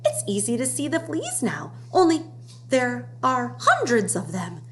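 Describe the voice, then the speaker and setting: Woman as high, chirpy little storybook monkeys. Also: huge pitch swings, a little slow, a bit bright, full character voice. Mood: amazed. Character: six little eager, fussy female monkeys. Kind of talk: children's storybook read-aloud. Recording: clear background noise with hum.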